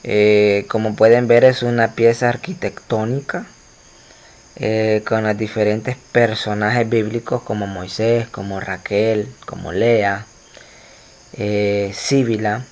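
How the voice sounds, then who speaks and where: A man's voice: lowish voice, a little mellow. A man teaching an art history lesson to students over slides, explaining at length with frequent fillers and restarts. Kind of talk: recorded slide lecture.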